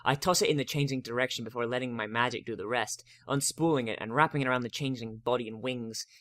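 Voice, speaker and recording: A man giving a dramatic first-person reading of a story, expressive and wry. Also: medium pitch, fast, no room sound. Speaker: narrator of a fan fiction reading.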